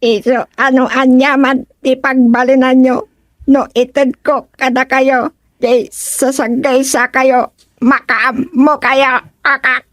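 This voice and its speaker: A woman acting a sly magical figure in a comedic radio drama, deliberate and given to frog croaks. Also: sits high, little pitch movement, dry recording. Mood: coaxing, smug.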